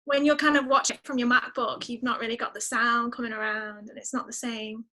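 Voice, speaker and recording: A woman chatting casually as a guest in an interview, answering in an enthusiastic, expressive way. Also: fairly high pitch, a little fast. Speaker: podcast guest; musician.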